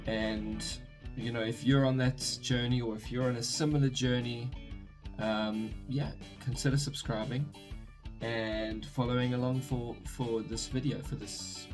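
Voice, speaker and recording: A young man from South Africa with a South African accent, chatting casually to camera in his room, rambling and correcting himself as he goes. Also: mid-range voice. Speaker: vlogger. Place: his bedroom.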